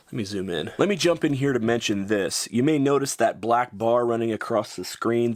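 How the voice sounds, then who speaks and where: A man's voice: fairly low pitch, no room sound, studio quality. A man with a North American accent narrating a casual gadget review in an offhand, informal, slightly wry way. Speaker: product reviewer.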